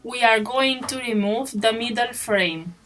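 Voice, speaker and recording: A woman's voice: fairly high pitch, a little slow, fairly bright tone. A woman reading a scripted instructional narration as a tutorial narrator, in short step-by-step statements. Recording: clearly noisy track with clicks.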